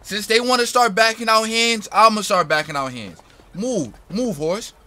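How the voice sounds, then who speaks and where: A man's voice: sits high, normal speed, bright, forceful tone, a little hiss or hum. An American man doing casual, slangy gameplay commentary, swearing and brashly reacting to the game. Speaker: gamer streamer. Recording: game sounds behind the voice. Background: game ambience and horse hooves.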